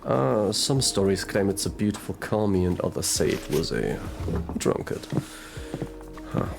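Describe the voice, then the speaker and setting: Man playing a gruff sardonic detective, very low gravelly voice, drawling delivery, audio drama, music behind. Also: animated, a little slow, heavily acted. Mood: musing. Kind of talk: audio roleplay drama acting.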